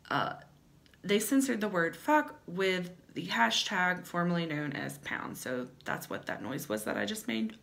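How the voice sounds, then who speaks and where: A young woman hosting a vlog, giving dramatic comedic readings with wry asides in a theatrical, sardonic manner and emphatic pauses. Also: medium pitch, a bit bright. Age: young adult. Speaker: vlog host. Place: small plain-walled room at home.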